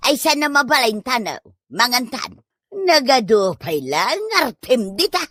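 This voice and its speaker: A woman with an Ilocano accent playing a wife and mother in a village comedy drama, speaking in an expressive, colloquial way. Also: on the high side, measured pace, no room sound, clean studio sound, exaggerated voice. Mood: nagging, coaxing.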